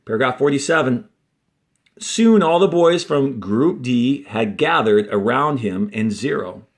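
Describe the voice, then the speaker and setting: A man reading a story aloud as a teacher, deliberately, with instructional asides to those following along. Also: medium pitch, lively intonation. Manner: deliberate, explains punctuation and page cues as he reads. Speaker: fourth grade teacher reading aloud to a class.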